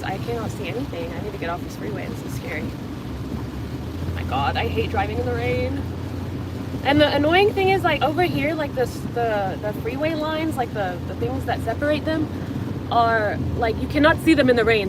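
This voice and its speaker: A young woman from England chatting anxiously while driving on a rainy freeway, full of fillers. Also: on the high side. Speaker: vlogger. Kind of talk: casual vlog while driving. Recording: loud road and rain noise. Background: road and rain noise.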